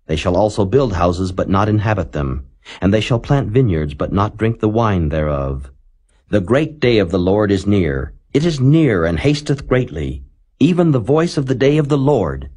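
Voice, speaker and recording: A man with a very low voice narrates scripture solemnly. His delivery is measured and declamatory, with pitch that rises and falls widely from phrase to phrase. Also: darkish tone, slightly rough. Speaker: Bible narrator.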